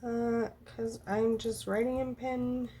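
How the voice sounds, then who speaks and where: A woman with a hoarse, froggy voice talks to herself as she works, slow and unhurried, sometimes trailing off into counting under her breath. Her voice sits a bit high. A faint hiss runs under the recording. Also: flat delivery, dark tone, poor audio. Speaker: planner vlogger. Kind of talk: casual talk while drawing a journal spread.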